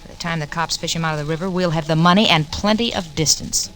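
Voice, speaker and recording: An actress playing a cool, calculating blackmailer, clipped and seductive, in 1940s radio crime drama style. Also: female voice, low voice, fast talker, crowd noise, lightly acted. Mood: scheming, seductive. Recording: noisy vintage 1940s radio recording.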